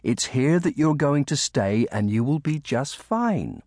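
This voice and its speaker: Man voicing a high, fussing young maidservant. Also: lively intonation, unhurried, a little mellow, dry recording, clean studio sound. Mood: fond, firm. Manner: anxious, motherly scolding and soothing. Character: devoted, fussing young maidservant woman of humble cottage family.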